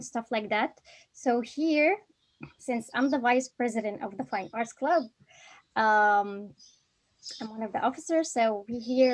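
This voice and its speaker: Young woman, Arabic speaker, slightly higher voice, friendly and giggly, talking over a video call. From Egypt. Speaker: honors student, club vice president. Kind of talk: casual video call chat.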